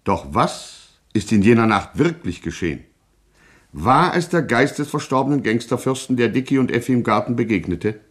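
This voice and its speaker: A man's voice: mid-range voice, lively intonation, normal speed, natural voice. A male actor playing a pompous radio serial announcer, narrating in a solemn, mock-sensational style.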